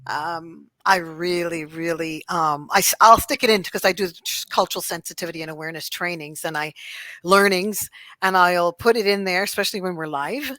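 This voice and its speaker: A woman's voice: on the low side, normal speed, sharp tone, dry recording. A middle-aged woman from Barbados, raised in Toronto, talking as a guest about her trainings and singing, chatty, laughing often and restarting her sentences. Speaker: guest, cultural sensitivity trainer and singer. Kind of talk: casual interview chat.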